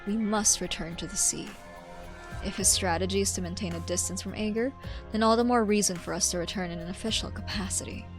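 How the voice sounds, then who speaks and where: A woman voicing a solemn, stoic female sea warrior in game dialogue, in measured formal speech. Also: medium pitch, steady tone, faint music behind, lightly acted. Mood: solemn, resolute. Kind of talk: game dialogue read aloud.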